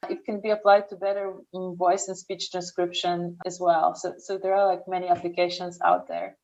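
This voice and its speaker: Young woman w eastern european accent, talks slow n careful, kinda muffled lo-fi audio. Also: lowish voice, level tone, darkish tone. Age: young adult. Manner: speaks slowly and carefully, with frequent restarts. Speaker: startup founder, interview guest. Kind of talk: interview answers.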